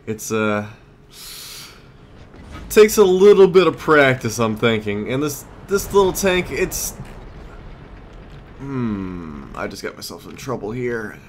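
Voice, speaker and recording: A man's voice: mid-range voice, very animated, slow pace, pauses often. A man giving casual gameplay commentary as a let's play host, muttering and exclaiming as he plays. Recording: clear background noise, game ambience.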